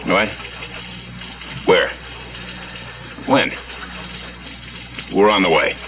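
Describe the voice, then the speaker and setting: An actor playing a hard-nosed, brusque police detective in a radio drama, gruff, clipped and impatient. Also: male voice, sits low, very slow, noisy background. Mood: brisk, businesslike. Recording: muffled old radio broadcast.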